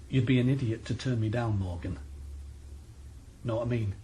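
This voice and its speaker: An actor playing a cold, menacing middle-aged crime boss in a radio play, speaking in a matter-of-fact way. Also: male voice, mid-range voice, moderate pace, dark tone, a little hiss or hum, natural voice. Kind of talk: radio drama acting.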